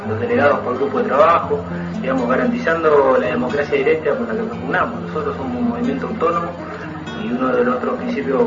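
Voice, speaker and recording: An Argentine man speaking spontaneously as an activist spokesman, explaining in an interview-like way. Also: fairly high pitch. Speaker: activist spokesman of a neighbourhood movement. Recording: dull, echoey distant recording with music. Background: music.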